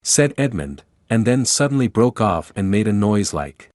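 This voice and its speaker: A man narrates a storybook from a script. His voice sits fairly low. The recording is dry, with no room sound. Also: very animated, normal speed, a little mellow, no echo.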